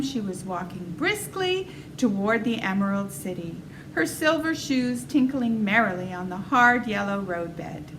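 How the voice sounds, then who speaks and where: A woman's voice: fairly high pitch, fairly bright tone, slight background noise, poor audio. A woman reading a children's storybook aloud as narrator. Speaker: storybook reader.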